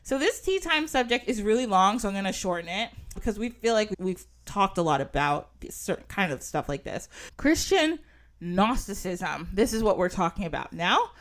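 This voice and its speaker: A woman's voice: mid-range voice, big pitch swings, normal speed, fairly bright tone. A woman hosting a podcast, talking in a chatty, casual way with lots of fillers and frequent giggles, sometimes reading from an article. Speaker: podcast host.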